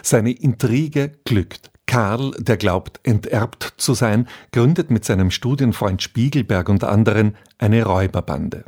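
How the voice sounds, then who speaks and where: A man reading a measured scripted introduction to a radio play as its announcer. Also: on the low side, darkish tone, breathy edge.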